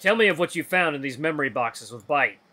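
Man as hound warrior, storybook character, stern, protective, clipped formal orders, forceful edge, slightly high male pitch. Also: animated, fast. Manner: formal, clipped, imperative speech without contractions.

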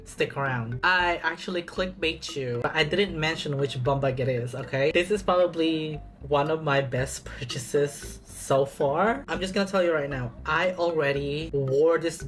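A man's voice: on the high side, a little slow, hardly any pauses, a bit bright, faint music behind. A young man chatting casually while unboxing a bag in a small apartment room, sassy and dramatic, stretching words for emphasis. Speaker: vlogger.

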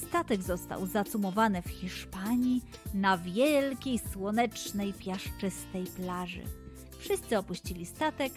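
A woman narrating and hosting a children's story podcast, deliberately addressing young listeners. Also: medium pitch.

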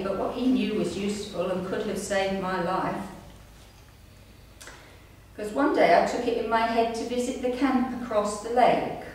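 An older woman in her sixties reads her own story to listeners, in a measured storytelling way. Her voice sits at a middling pitch. She is recorded at a distance in a strongly reverberant room, with a little noise underneath. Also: darkish tone. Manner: measured storytelling, reading from pages. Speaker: amateur writer reading her own story. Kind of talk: reading a written memoir aloud to an audience. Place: indoor room at a community centre, seated. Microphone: distant camera microphone. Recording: echoey room, distant mic, faint noise.

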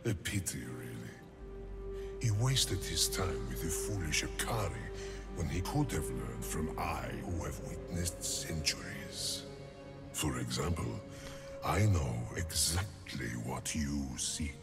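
An ancient otherworldly ruler from a video game, with an extremely low voice. He speaks very slowly and gravely, patient yet condescending, over clear background music. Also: expressive, full character voice. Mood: solemn, condescending. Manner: slow, grave, deliberate pronouncements. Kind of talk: video game character monologue.

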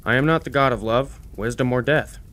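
An actor playing a bitter, grumbling old god of the forge in an audio drama. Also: male voice, medium pitch, a little fast, a bit bright, close to an everyday voice. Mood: dismissive. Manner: grumbling, rambling about old grievances. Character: grumpy, bitter old blacksmith god, crippled.

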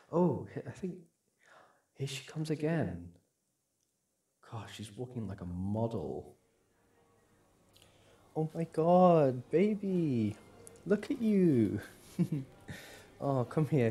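A man acting as a doting, smitten young boyfriend on a shopping date in an audio roleplay, speaking in a hesitant, halting, affectionate way. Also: mid-range voice, big pitch swings, mellow, breathy edge, exaggerated voice. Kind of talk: boyfriend ASMR roleplay, acted one-sided conversation.

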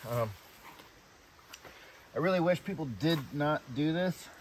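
A man chatting casually to camera in a halting, low-key way, as an outdoor camping vlogger. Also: rough recording. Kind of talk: casual vlog chat to camera. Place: outdoors at a riverside wilderness campsite. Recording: outdoor camera audio with ambient noise.

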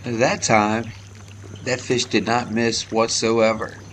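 A man filming himself fishing from a kayak on a pond, giving relaxed play-by-play to his viewers on an action camera outdoors. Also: mid-range voice, takes it slowly, background noise. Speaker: fishing vlogger. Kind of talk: casual fishing commentary.

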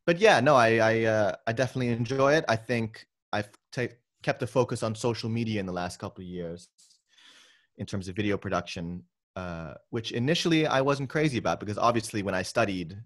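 A young man from the Philippines speaks in a fairly low voice, chatting loosely with plenty of ums and restarts. He sounds relaxed and open as he answers interview questions. He is recorded over a video call from his bedroom. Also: brisk. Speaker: guest filmmaker. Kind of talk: casual interview conversation. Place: bedroom at home.

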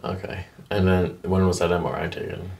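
A man's voice: sits low, level tone, a little fast, creaky, darkish tone. A middle-aged man working as a chiropractor, talking through a clinical exam in a matter-of-fact way with clipped questions. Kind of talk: clinical consultation and exam commentary. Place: indoor chiropractic office. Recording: distant mic in an office.

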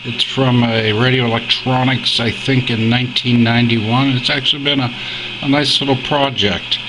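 A man giving a casual, unscripted demo as a radio hobbyist, speaking in a hesitant, halting way. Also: mid-range voice, steady tone. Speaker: radio hobbyist demonstrating his homemade receiver. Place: indoors at a desk. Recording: clear radio static and noise behind. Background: shortwave receiver sounds.

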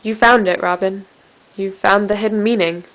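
A woman's voice: medium pitch, steady tone, a bit bright, echoey room, natural voice. A teenage girl acting as a bitter, sardonic dead girl narrating confession tapes in a student film. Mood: hurt, accusing. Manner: deliberate, sardonic, dramatic delivery. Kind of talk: scripted dramatic monologue for amateur student film. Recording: hissy, distant amateur room recording.

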